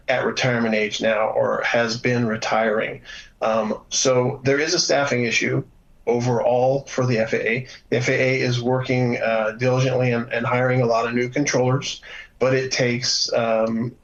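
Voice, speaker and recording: A man giving a measured, halting explanation as an expert guest in a news interview, with frequent hesitations. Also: mid-range voice, creaky, highs cut off. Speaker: former air traffic controller, instructor, guest expert.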